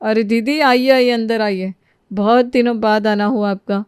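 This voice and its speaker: A woman's voice: on the high side, steady tone, unhurried, clean tone, no echo, clean studio sound, natural voice. Stern scolding mother-in-law, acted by a woman. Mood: complaining. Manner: complaining, sharp-tongued. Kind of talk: animated moral storybook dialogue.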